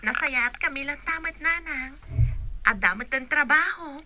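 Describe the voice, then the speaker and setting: A woman's voice: high-pitched, moderate pace, pushed and bright, a little hiss or hum. A woman with a Filipino accent playing a sweet young working daughter in a radio drama. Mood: dutiful, gentle.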